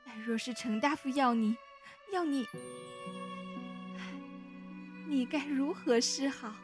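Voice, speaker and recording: A woman playing a caring, solicitous elder-sister figure of a pleasure house in a Chinese costume audio drama, gentle and worried. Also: high voice, slow pace. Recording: music laid over the voice.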